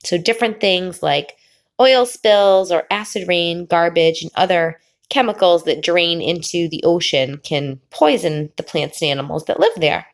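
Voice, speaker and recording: A woman teacher reading a picture book aloud to children, pausing before key words and explaining the pictures. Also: fairly low pitch, gravelly. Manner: slow, drawn-out reading with sing-song pauses before the last word.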